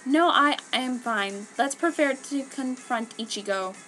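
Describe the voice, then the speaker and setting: A woman's voice: sits high, measured pace, bright, forceful tone, faint music behind. A woman voicing a wounded, anguished young man in a melodramatic story reading. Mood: resolute.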